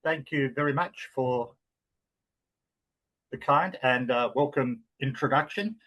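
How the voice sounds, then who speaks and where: A man with an Australian accent giving an explanatory talk as guest speaker, measured and with frequent fillers. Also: mid-range voice, a bit bright. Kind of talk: lecture-style talk.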